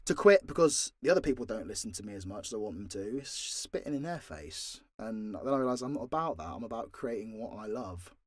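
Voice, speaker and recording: A man's voice: fairly low pitch, animated, brisk, few pauses, dry recording. A British man giving a frank, sweary, rambling and informal Q&A chat, close on the mic. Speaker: audio role-play creator and voice actor.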